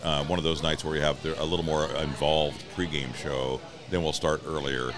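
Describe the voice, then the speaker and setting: A man's voice: extremely low pitch, steady tone, moderate pace, music in the background. A middle-aged man, a stadium announcer being interviewed, telling stories in a casual, easygoing, rambling and wry way. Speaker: stadium public address announcer, interview guest. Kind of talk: casual conversational storytelling.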